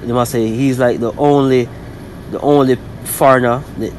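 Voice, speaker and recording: A man with a Jamaican accent in casual discussion, telling stories in halting, repetitive phrases. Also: mid-range voice, steady tone, darkish tone, a little hiss or hum, low-quality recording.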